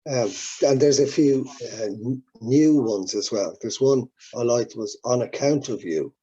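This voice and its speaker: A man's voice: medium pitch, a little slow, mellow, lo-fi sound. A man with an Irish accent, a native Irish speaker, chatting as a musician guest in an interview, rambling and reflective with many restarts. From Ireland. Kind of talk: casual interview talk.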